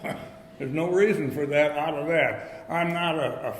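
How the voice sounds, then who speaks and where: An elderly man from upstate New York tells his recovery story to a meeting in a folksy, halting way, full of fillers and restarts. His voice carries a long hall reverb, with faint noise in the background. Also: on the high side. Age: 80s. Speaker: AA speaker.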